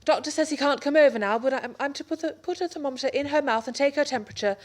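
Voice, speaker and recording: A flustered young wife with a high voice, fussing and fretting over everything at once, as an actress plays her. Her lines come in the anxious, fluttering manner of a 1930s radio play. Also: fast talker, noisy background, natural voice.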